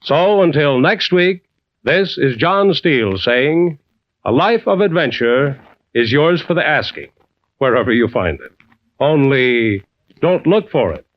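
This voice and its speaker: An actor playing a genial, folksy adventurer who hosts and narrates a 1940s radio drama. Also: male voice, medium pitch, very animated, unhurried, no echo. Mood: genial, measured. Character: adventurer storyteller, genial and folksy, addressing friends. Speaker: host narrator. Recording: muffled old radio recording.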